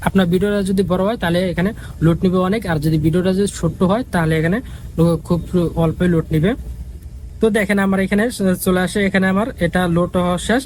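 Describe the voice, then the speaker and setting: A man's voice: sits high, fairly even intonation, fast, a little mellow, slight background noise, low-quality recording. A man giving a casual, repetitive step-by-step app tutorial as the host. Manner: repetitive, instructional.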